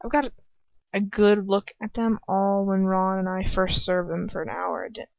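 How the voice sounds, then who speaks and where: A woman reading as a frightened, despairing young woman who argues with herself in a halting, anguished way. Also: mid-range voice, flat delivery, vocal fry, no room sound, faint music behind. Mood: subdued, guilty. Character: frightened, despairing young woman narrating her own inner turmoil. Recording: dull, muffled lo-fi home recording.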